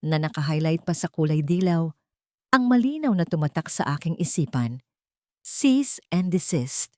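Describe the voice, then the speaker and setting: A woman's voice: deep voice, warm tone, no echo, studio quality. A woman reading scripted first-person dramatic fiction as a story narrator.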